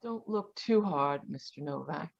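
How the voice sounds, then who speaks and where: A woman's voice: low-pitched, lively intonation, measured pace, vocal fry, full character voice. A woman playing a bitter, dying young woman in a 1940s radio drama, weak, weary and wounded, over dull video-call audio.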